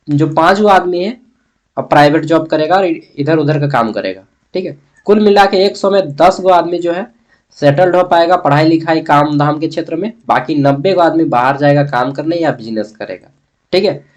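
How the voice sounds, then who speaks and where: Higher-voiced Jharkhand man teaching informally. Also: darkish tone. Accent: Jharkhand Hindi. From Jharkhand, India. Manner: asks questions and answers them himself; colloquial, chatty, addresses students directly. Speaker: history teacher for college students. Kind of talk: informal exam-prep lesson.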